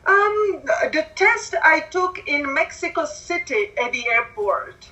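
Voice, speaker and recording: Slow, bright-voiced woman with a Czech accent. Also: high-pitched, big pitch swings, low-quality recording. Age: 40s. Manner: slow, careful speech with non-native grammar. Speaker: guest. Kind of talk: telling her story on a call.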